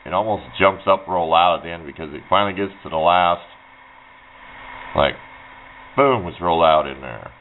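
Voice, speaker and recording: A man's voice: low voice, normal speed, long pauses. A man giving an informal hands-on electronics tutorial in a folksy, rambling way. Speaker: hobbyist demonstrating electronics. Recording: dull lo-fi sound, faint background noise.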